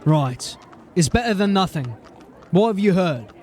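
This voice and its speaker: A seasoned sergeant of a fantasy city watch. He is probing and matter-of-fact, pitched high for a man. The audio drama puts faint outdoor noise behind him. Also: expressive, measured pace, darkish tone. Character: gruff, shrewd male city watch sergeant.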